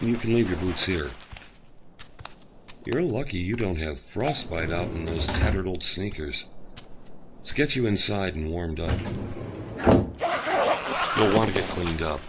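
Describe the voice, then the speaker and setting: A man narrating and playing a calm, plainspoken delivery driver in an amateur audio drama. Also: sits low, measured pace, pauses often, heavy background noise. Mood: kindly.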